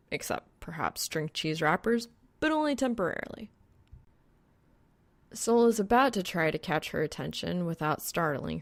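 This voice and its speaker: Woman reading audiobook fic, kinda low w lots of vocal fry, wry informal storytelling. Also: no echo. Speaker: audiobook narrator. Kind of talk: scripted story narration.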